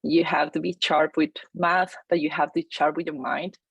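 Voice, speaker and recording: A woman, her voice sitting on the low side. She talks energetically, full of ums and restarts, in a dry room. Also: flat delivery, normal speed, gravelly, breathy edge, no room sound, rough recording. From Chile. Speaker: real estate agent, guest. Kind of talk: casual interview storytelling.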